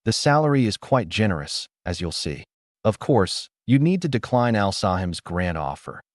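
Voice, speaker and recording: A man's voice: on the low side, big pitch swings, moderate pace, no echo, studio quality. Guy reading as a fake-friendly corporate boss, polite but kinda threatening. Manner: falsely jovial, politely threatening. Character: smooth, calculating male corporate executive.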